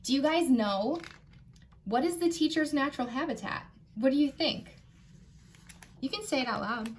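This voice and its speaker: Children's librarian, female, American. High-pitched, expressive storytime read-aloud, engaging young listeners directly. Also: moderate pace, slight background noise. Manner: animated, asks listeners questions.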